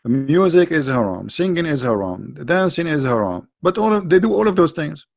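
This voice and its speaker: Emphatic gravelly man lecturing on a stream. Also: on the high side, very animated, normal speed. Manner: emphatic, lecturing, with frequent restarts and non-native grammar. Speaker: live stream host. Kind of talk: religious commentary on a live stream.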